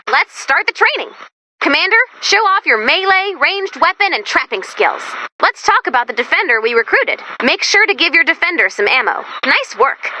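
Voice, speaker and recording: Perky, anxious robot base assistant for a video game: high, swooping delivery, expressive with dry sarcasm. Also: female voice, slight background noise, exaggerated voice, rough recording. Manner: chirpy, expressive, dryly sarcastic. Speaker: mission guide and announcer. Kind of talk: animated video game voice acting.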